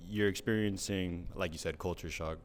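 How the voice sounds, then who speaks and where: A man's voice: low voice, steady tone, moderate pace, a little hiss or hum. A man hosting an interview in a laid-back way, often saying "for sure". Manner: laid-back, loose phrasing with repeated "for sure".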